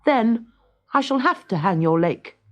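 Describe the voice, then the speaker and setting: Cheeky storybook peasant boy, adult actor, high-pitched, sly, confident. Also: lively intonation, measured pace, highs cut off, heavy background noise, close to an everyday voice. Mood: bold. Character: plucky, cunning young peasant lad, boldly bluffing. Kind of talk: children's storybook character reading.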